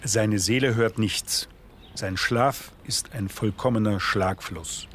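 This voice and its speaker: An actor playing a solemn reciter of a philosophical treatise in a radio play, with measured, declamatory delivery. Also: male voice, lowish voice, noisy background. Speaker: narrator. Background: music.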